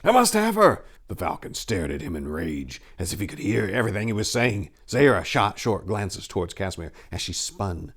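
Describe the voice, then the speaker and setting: A man's voice: low-pitched, normal speed, hardly any pauses, slightly rough. A man narrating a story as host, in a dramatic, theatrical and emphatic storytelling style.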